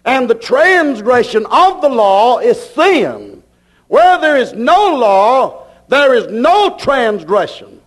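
A male preacher delivers a fervent sermon in a very high-pitched voice. His pitch moves widely as he declares each point emphatically. The delivery is slow and deliberate, with phrases repeated for weight. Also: sing-song, poor audio.

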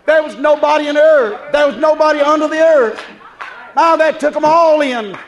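A man preaching a fervent revival sermon, shouting exclamations, repeating refrains and calling on the congregation to respond. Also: very high voice, normal speed, rough recording. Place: preaching live before a congregation. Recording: live congregation heard around the preacher. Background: congregation crowd.

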